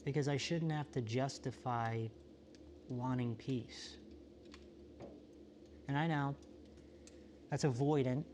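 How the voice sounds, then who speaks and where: A man playing a solitary, introspective apocalypse survivor in a found-footage monologue, talking in a halting, self-questioning, confessional way. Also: medium pitch, fairly even intonation, darkish tone, slight background noise, close to an everyday voice. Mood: weary, reflective. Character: solitary, world-weary, introspective male survivor of a quiet apocalypse.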